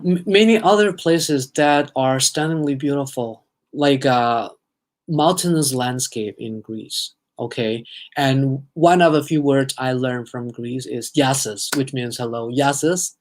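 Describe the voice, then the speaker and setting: Thai guy chatting on his livestream, friendly rambling, reads out comments, drops little foreign phrases. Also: mid-range voice. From Thailand. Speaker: livestream host. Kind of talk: casual live-stream chat with viewers.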